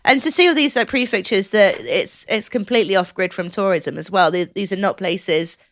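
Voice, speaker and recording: A woman's voice: medium pitch, expressive, a little fast, a bit bright, no room sound. Woman chatting casually, close dry sound. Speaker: podcast co-host. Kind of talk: casual podcast chat.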